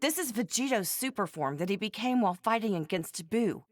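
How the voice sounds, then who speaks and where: A woman's voice: mid-range voice, lively intonation, fairly quick, noisy background, natural voice. A woman playing a feisty, strict, fretful mother in an anime game, emphatic and folksy. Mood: exasperated, puzzled. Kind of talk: English anime game dub banter.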